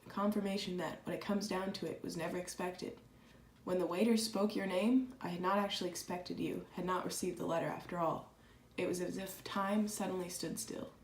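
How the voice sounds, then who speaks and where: A woman playing an earnest, proud and candid letter writer in a dramatized reading, speaking reflectively and deliberately. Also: on the low side, darkish tone. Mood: confessional. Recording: echoey room with clear background noise.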